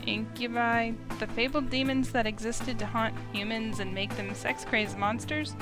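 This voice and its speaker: A woman playing a flustered, sceptical young heroine, narrating a visual novel in the first person with expressive dialogue. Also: fairly high pitch, moderate pace, lightly acted. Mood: incredulous. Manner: incredulous, expressive. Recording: music behind the voice.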